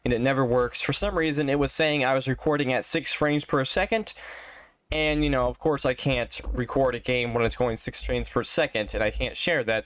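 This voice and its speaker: A man's voice: mid-range voice, clean tone, no room sound. Congested man musing over gameplay. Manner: thinking aloud, unsure, rambling. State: congested from allergies. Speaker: gaming channel host. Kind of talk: casual gameplay commentary.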